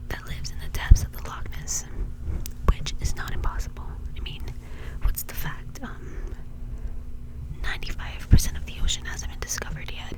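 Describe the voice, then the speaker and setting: A woman speaks in a breathy, unvoiced whisper throughout. She presents like an ASMR host telling a story, with informal, conversational phrasing. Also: normal speed, low-quality recording.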